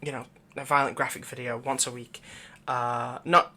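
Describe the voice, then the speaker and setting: A man's voice: medium pitch, flat delivery, normal speed, bright, forceful tone. A man who creates audio roleplays, chatting casually in a rambling, hesitant, informal way as he addresses his viewers. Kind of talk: casual outro chat with plugs.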